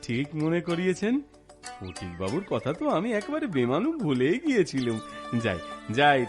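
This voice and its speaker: A man's voice: medium pitch, close to an everyday voice. A man playing an affable, chatty village washerman in a cartoon, with rustic speech and repeated words. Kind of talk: cartoon voice acting, rustic dialogue. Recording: music behind the voice. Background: background music.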